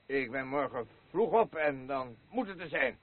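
A boisterous, rambling drunkard of a husband in a radio play. His voice is husky and leaps widely in pitch, veering between elation and weariness. The recording is narrow and muffled. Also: animated, normal speed. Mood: elated, commanding. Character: boisterous, rambling alcoholic husband obsessed with a horse. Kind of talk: Dutch radio play acting.

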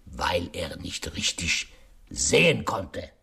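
A shrewd detective in a radio play, precise and sure of himself. His voice is slightly rough and husky, swinging widely in pitch as he builds dramatic pauses and pointed questions. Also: big pitch swings, bright, forceful tone, full character voice. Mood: pointed, insistent. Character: shrewd, theatrical male detective, self-assured and precise. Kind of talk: radio drama monologue, explaining a case.

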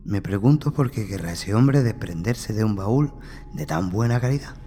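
A man's voice: lowish voice, animated, moderate pace, mellow, soft background music, close to an everyday voice. A man playing a curious, chatty haulier's assistant in an audio drama, puzzled and colloquial. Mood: puzzled, grumbling. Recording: long reverb.

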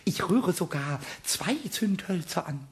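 A man voicing a small, cheeky, childlike kobold in a children's radio play, squeaky and excitable, muttering to himself in rhymes. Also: measured pace, a little hiss or hum. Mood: defiant, tempted.

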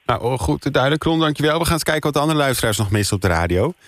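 A man's voice: mid-range voice, lively intonation, rapid-fire, a bit bright, no room sound. A man hosting a live radio phone-in, talking with listeners from a studio. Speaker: radio host. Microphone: close studio microphone.

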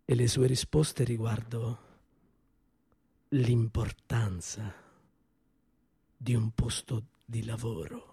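A man performing a theatrical monologue, bitter and accusing, with slow weighted pauses. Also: mid-range voice, steady tone, gravelly, mellow, husky, no echo. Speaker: stage actor in a one-man monologue. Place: small theatre stage.

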